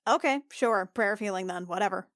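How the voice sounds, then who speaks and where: A woman's voice: mid-range voice, brisk, a bit bright, dry recording, clean studio sound. A woman talking casually as a tabletop game player, thinking aloud with some hesitation. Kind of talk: casual roleplaying game talk.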